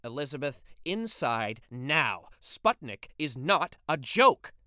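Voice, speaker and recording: A man playing a gruff, stern pipe-smoking father in a read-aloud story. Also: medium pitch, normal speed, a bit bright, no room sound, studio quality, natural voice.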